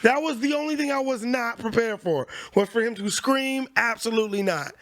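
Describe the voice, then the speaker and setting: High-voiced, animated podcast co-host man. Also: fairly quick, slight background noise. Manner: animated storyteller, slangy, swears a lot. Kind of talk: casual podcast banter.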